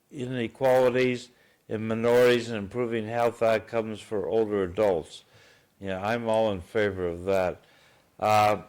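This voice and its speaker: Halting man hosting an interview. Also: medium pitch. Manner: halting, many fillers, dry asides. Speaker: host. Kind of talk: casual radio-style interview.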